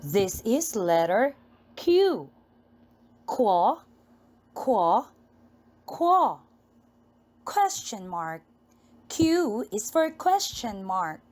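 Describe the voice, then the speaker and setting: A woman with a Filipino accent teaching phonics to young children, enunciating letters and words in a deliberate, repetitive, sing-song way. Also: medium pitch, sing-song, a little mellow.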